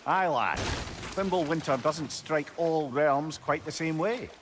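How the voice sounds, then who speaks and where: An actor playing a chatty, learned older Scottish sage in a video game, wordy and droll in manner. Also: male voice, a little high, takes it slowly, background noise. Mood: explaining. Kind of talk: video game dramatic acting.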